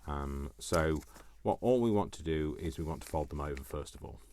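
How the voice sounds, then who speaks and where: A man's voice: extremely low pitch, normal speed, a little mellow. A man presenting a craft tutorial in a casual, chatty way, rambling with self-deprecating, jokey asides. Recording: noticeable background noise, small clicks.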